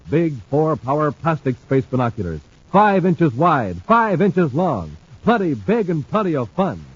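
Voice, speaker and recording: A male announcer from a 1950s radio serial, booming and showman-like. His pitch sits high and swings widely. The old recording is muffled with a faint hiss. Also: slightly rough.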